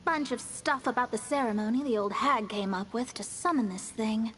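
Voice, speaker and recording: A woman's voice: on the high side, normal speed, slight background noise, natural voice. A woman playing a proud young astrologer sorceress in a video game, haughty and theatrical in manner. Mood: haughty, eager. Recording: loud game music behind.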